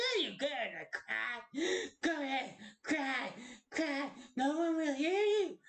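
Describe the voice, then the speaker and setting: A woman's voice: high-pitched, voice pushed up, full character voice. A woman voicing a cruel, scornful unicorn parent who berates a child with harsh contempt in an amateur cartoon style. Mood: shouting, taunting. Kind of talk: amateur cartoon villain voice acting.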